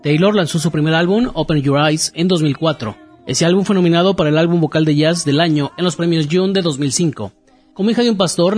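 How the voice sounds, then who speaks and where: A male radio host with a slightly raised voice announces in a measured, formal way. He reads an artist's background aloud like a broadcaster. Soft music plays low underneath. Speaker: radio jazz program host.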